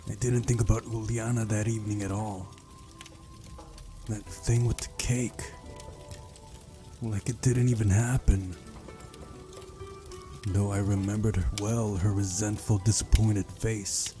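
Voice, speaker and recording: A man reading a visual novel aloud and chatting casually as he plays, with music behind him. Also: fairly low pitch. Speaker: host of a game playthrough. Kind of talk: casual playthrough chat and read-aloud narration of a visual novel. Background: game music.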